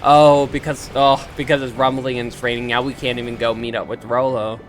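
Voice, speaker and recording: A man's voice: mid-range voice, flat delivery, a little fast, a little hiss or hum. A man giving casual, chatty game commentary, reacting and musing aloud as he plays. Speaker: let's play streamer.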